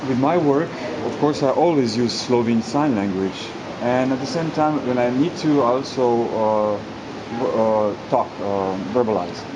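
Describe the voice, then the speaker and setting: A man giving a halting spoken interpretation in a formal interview, searching for words and repeating them. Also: medium pitch. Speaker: sign language interpreter voicing an interviewee. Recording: clear air-conditioning-like background hum.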